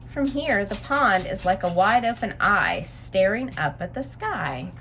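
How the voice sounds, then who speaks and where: A woman voicing a gentle, dreamy male naturalist from a storybook, speaking expressively and encouragingly to a young companion. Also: medium pitch, lively intonation, measured pace, slight background noise.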